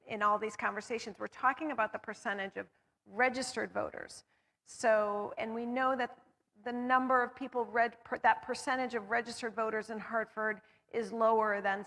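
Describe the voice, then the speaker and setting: A woman's voice: fairly high pitch, vocal fry, sharp tone, big-room sound, rough recording. A woman on a discussion panel, talking steadily and carefully, correcting herself as she goes through figures. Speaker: panelist on local voting data.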